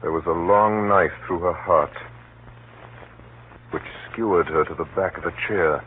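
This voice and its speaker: A man acting a grave gentleman who narrates a spy plot in an urgent, deliberate, dramatic 1930s radio drama style. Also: sits low. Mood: tense narration. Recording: muffled, noisy 1930s radio recording. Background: faint hiss and noise.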